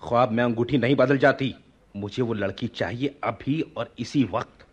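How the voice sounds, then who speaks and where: A man playing a lovestruck, hot-tempered young prince in a radio play, with a husky, theatrical delivery. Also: mid-range voice, normal speed, lightly acted. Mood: furious, demanding. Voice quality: husky, breathy. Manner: theatrical, poetic. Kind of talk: Hindi radio play acting.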